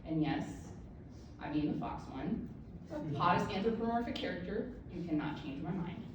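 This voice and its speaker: Woman in her thirties, lowish voice, candid self-mocking speech, very echoey room, distant mic. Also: lively intonation, moderate pace, lo-fi sound, noisy background. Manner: candid, self-deprecating humor. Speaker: author giving a speech. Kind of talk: personal speech to an audience. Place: event room with an audience.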